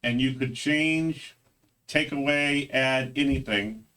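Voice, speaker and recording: A man hosting a casual interview show, talking in a relaxed way with fillers and stumbles. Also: mid-range voice, slow pace, clear, resonant tone, recorded from a distance, rough recording. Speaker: host.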